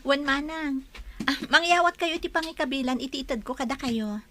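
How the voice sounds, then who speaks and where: A woman acting as a younger village neighbour with a high voice. She is polite and deferential, often sounding puzzled, in an Ilocano comedy radio play. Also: fairly quick, fairly bright tone.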